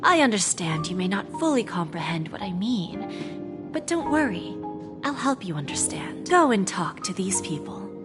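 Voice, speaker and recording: A mysterious pawnbroker woman speaks in a silky, teasing way, her pitch gliding up and down, as an actress plays her. Game music is clearly heard behind her. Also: very animated, normal speed, lightly acted. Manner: silky, coolly condescending. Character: smooth, enigmatic female pawnbroker, teasing and knowing.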